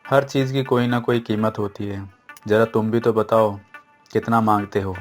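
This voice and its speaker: A man's voice: on the low side, little pitch movement, moderate pace, faint music behind, close to an everyday voice. A man playing a wealthy, self-important businessman father who indulges his daughter, in a storybook tale. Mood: proud, pressing.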